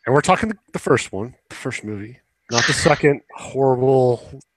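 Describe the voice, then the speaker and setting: A man's voice: mid-range voice, expressive, moderate pace, rough recording. A man hosting a podcast, chatting casually with plenty of swearing and sarcastic exaggeration. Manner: sarcastic, hyperbolic. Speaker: podcast host. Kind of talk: casual, profane podcast chat.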